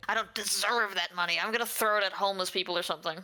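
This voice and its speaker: Bright-voiced playful woman chatting. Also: mid-range voice, steady tone, racing. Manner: playful, giggly. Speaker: player. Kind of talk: casual chat while making a game character.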